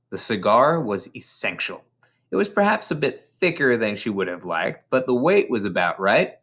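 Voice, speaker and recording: Animated male children's story reader. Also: mid-range voice. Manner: dramatic, animated storyteller delivery. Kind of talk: expressive children's story reading.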